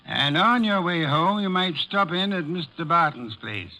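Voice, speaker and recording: Corpulent detective genius, imperious, formal, fairly high-pitched, very bright gravelly voice, old-time radio drama. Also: male voice, expressive, a little slow, heavily acted, poor audio. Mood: brisk, stern. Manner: pompous, formal, precise phrasing. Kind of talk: 1950s radio drama acting.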